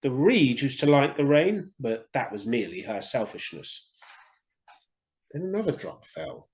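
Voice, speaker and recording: Expressive male storyteller, lively pitch. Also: measured pace. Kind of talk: expressive children's story reading.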